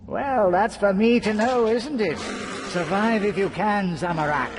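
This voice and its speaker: An actor playing a gleeful, taunting schemer god in a fantasy video game, with mocking, theatrical delivery. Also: male voice, high voice, a little slow, background noise.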